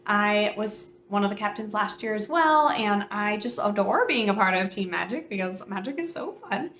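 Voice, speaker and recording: A woman hosting her book channel, chatting casually and excitedly to camera, a bit hoarse from a cold, with plenty of fillers. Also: medium pitch, moderate pace, reverberant. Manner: bubbly, chatty, many fillers.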